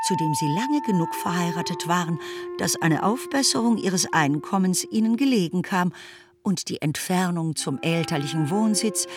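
An actress playing the narrator of a classic romantic novel in a literary audio drama. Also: female voice, mid-range voice, distant mic, close to an everyday voice.

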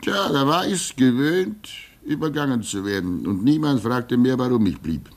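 A man acting in a German radio play as a gentle, resigned old hermit, fatherly and reflective, with halting speech that swings between emphasis and hush. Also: mid-range voice, big pitch swings. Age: older.

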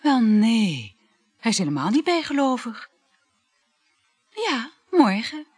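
A woman's voice: a little high, sing-song, takes it slowly, darkish tone, husky, dry recording, clean studio sound, full character voice. An actress playing a chatty, flirtatious married woman in a Dutch radio play, effusive and full of sighs. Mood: confiding.

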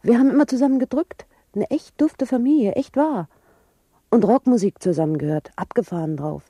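A wistful middle-aged mother in a radio satire. Her voice is extremely dark and soft-edged, with some husk, in a dry studio. She talks nostalgically and earnestly in dated slang. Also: a little high, fairly quick, breathy edge, dry recording. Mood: nostalgic, earnest. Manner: nostalgic, colloquial. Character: wistful hippie-slang mother, nostalgic for family drug fun. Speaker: talk-show guest. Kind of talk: satirical radio play acting.

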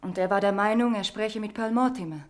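A woman's voice: mid-range voice, fairly even intonation, fairly quick, lightly acted. An actress playing a frightened young woman with an addiction, confessing earnestly in in a radio crime drama. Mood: confessional, tense.

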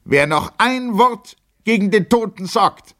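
A man voicing a young, drunken lieutenant in an audiobook, outraged and fiercely loyal, with emphatic, declamatory shouting. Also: high voice, expressive, measured pace, voice pushed up, full character voice. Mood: furious, commanding.

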